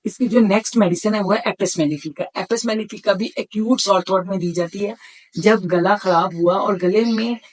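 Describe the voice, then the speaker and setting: A woman's voice: medium pitch, moderate pace, poor audio. Middle-aged woman explaining health advice. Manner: instructive, listing points with repetition. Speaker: homeopathic doctor presenting health advice. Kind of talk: explanatory health talk to camera.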